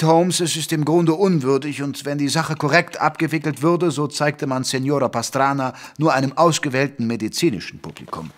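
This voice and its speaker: An actor playing a gentleman physician who narrates a detective radio play in a measured, literary, wry manner. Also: on the high side, lightly acted. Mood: earnest, defensive. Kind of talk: scripted audio drama narration and dialogue.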